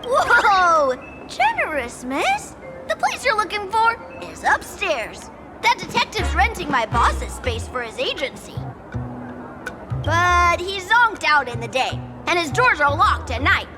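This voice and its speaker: A woman's voice: very high voice, very animated, takes it slowly, full character voice. A woman voicing a sly, greedy, playful little critter in a video game. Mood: chatty, pleased. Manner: sly, playful feigned ignorance. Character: cheeky little scamming furbo creature, greedy and playful. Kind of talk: video game character acting. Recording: loud music behind the voice.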